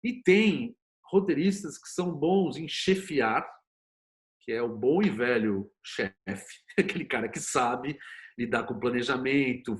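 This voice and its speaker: A Brazilian man speaking as an interview guest, explaining things informally and thinking aloud in a conversational way. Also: mid-range voice. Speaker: interview guest, screenwriter and series producer.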